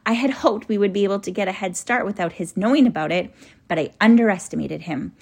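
A woman's voice: mid-range voice, fast, a little mellow, breathy edge. Woman reading as a grave, kindly male professor. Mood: grave, resolute. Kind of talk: children's storybook character voice.

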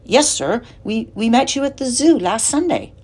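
A solemn, protective older brother, read by a woman in a storybook, speaking earnestly in a slightly lifted, husky voice. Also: fairly high pitch, sing-song, moderate pace, a little mellow, pitched above the performer's own voice, exaggerated voice.

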